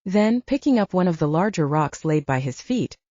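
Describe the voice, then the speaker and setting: A woman's voice: lowish voice, lively intonation, moderate pace, dark tone, no echo, highs cut off. A woman narrating dramatic fiction from a script as an audiobook reader.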